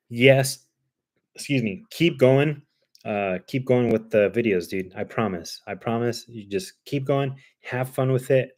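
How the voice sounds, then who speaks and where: A man with a Cuban accent, hosting a livestream in easygoing chat, rambling with plenty of ums and uhs. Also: fairly low pitch. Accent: Cuban Spanish. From Cuba. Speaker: livestream host. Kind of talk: casual chat.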